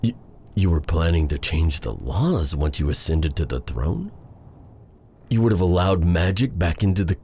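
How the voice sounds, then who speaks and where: A man playing a proud but tender dark sorcerer in an intimate ASMR roleplay drama, speaking with halting, theatrical courtliness in a breathy, gravelly voice. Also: low voice, big pitch swings, slight background noise. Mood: flustered.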